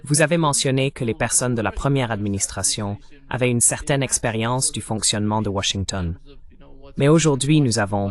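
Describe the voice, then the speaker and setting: A man's AI dubbing voice acting as the interviewer in a translated interview voice-over. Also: fairly low pitch.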